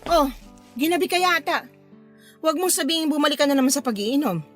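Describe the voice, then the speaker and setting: High-voiced housewife. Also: female voice, brisk, faint music behind. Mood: caring, curious. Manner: expressive, homely, questioning. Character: caring, inquisitive housewife and tired mother. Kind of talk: Filipino radio drama acting.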